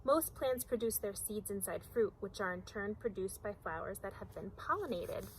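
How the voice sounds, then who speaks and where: A woman's voice: medium pitch, expressive, normal speed, a little hiss or hum, low-quality recording. A woman teacher reading a picture book aloud to young children, speaking simply and putting prompting questions.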